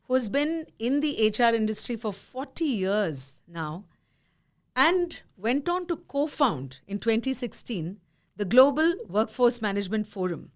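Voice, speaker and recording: A woman hosting a podcast, telling a story with animated energy and frequent laughter. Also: fairly high pitch, a little slow. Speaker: podcast host. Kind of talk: podcast conversation.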